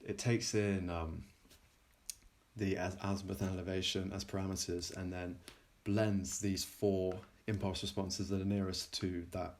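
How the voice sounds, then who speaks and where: A man presenting his own software in an informal technical demo, halting and often pausing mid-sentence. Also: low voice, flat delivery, a little hiss or hum. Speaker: plugin developer presenting a demo.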